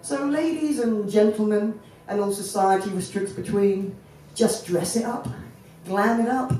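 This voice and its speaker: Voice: medium pitch, measured pace, mellow, slight background noise. A spoken word poet in their thirties, born in Fiji and raised in Jamaica, performing poems at a stand mic in a festival tent with rhythmic, emphatic delivery. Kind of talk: performance poetry on stage. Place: festival tent stage, outdoors. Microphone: stand microphone, filmed from the audience. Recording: echoey, from far off.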